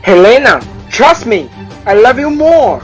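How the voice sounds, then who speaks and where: A woman's voice: on the high side, very animated, unhurried, faint music behind. A woman playing a boastful, lovestruck young male Athenian suitor in an amateur radio drama, speaking emphatically. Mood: pleading.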